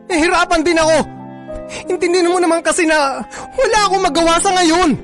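A married lover in radio drama, defensive and pleading, soft music behind. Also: male voice, very high voice, fast. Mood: defensive, upset. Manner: pleading, placating, emotional. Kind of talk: Filipino radio drama acting.

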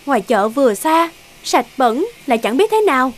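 A woman's voice: sits high, sing-song, normal speed. A woman voicing a whiny young cartoon wife. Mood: complaining. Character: young wife and scheming young mistress. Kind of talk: cartoon dubbing.

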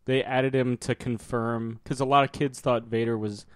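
Male co-host, mid-pitched, very dry close sound, casual and matter-of-fact movie commentary. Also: level tone, moderate pace, smooth, no echo. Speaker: film commentary podcaster. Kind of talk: casual film commentary chat.

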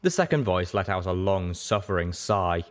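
Guy reading a story in first person, lowish voice, really lively pitch. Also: moderate pace. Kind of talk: scripted first-person narration.

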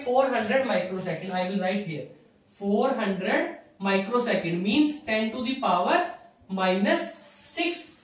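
A man in his thirties with an Indian accent giving an emphatic, repetitive whiteboard lecture, checking that listeners follow. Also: very high voice. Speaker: physiotherapy lecturer. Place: small indoor room with whiteboard. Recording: echoey room, distant microphone.

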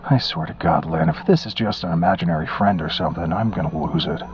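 A man's voice: deep voice, fast talker, over music. A man playing a weary, skeptical estranged father in a naturalistic horror drama, heard as if on a phone call. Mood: exasperated. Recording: dull band-limited phone-call sound.

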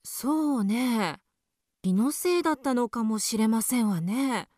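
A woman's voice: a little high, expressive, a little slow, a little mellow, no echo, studio quality. Anxious, ladylike wife. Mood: pensive. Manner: ladylike, fretful. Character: anxious, genteel wife and mother. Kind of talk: radio drama acting.

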